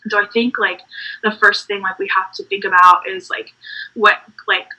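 Bright, husky young woman chatting. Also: mid-range voice, steady tone, normal speed, poor audio. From Puerto Rico. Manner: warm, laughing, filler-heavy. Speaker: podcast guest. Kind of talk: casual faith chat.

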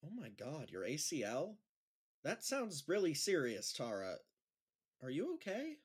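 A man playing an awkward, easily embarrassed high school gym teacher in an audio drama, hesitant and over-explaining. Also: huge pitch swings, measured pace, breathy edge, full character voice. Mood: alarmed concern. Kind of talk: naturalistic audio drama acting.

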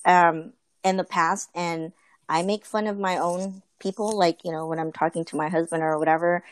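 A woman's voice: on the low side, fairly even intonation, moderate pace, no room sound. A woman in a casual group conversation, talking earnestly with many fillers and restarts. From Filipino family.

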